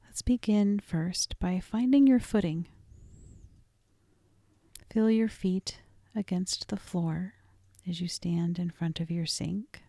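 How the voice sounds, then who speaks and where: A woman narrates a guided meditation in a soft, lowish voice with a good deal of fry. She is gentle and deliberate, leaving pauses and easing off almost into a whisper. Her tone stays dark and relaxed throughout. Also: dry recording. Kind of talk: guided meditation, scripted and soothing.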